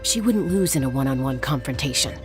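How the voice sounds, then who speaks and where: An actress playing a calm, assured female warrior companion in a fantasy video game. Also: rumbling low, a little fast, over music. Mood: calm confidence.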